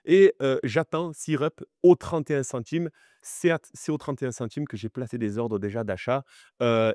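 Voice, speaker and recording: A man hosting a crypto market video, chatty and animated, with many fillers and direct appeals to viewers, sounding a little stuffy from the cold. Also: mid-range voice, no echo, studio quality. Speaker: crypto channel host. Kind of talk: crypto market analysis vlog.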